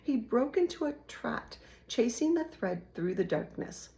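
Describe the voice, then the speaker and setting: A woman reading a children's storybook aloud in an expressive way. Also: on the high side, unhurried, vocal fry. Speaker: children's book reader.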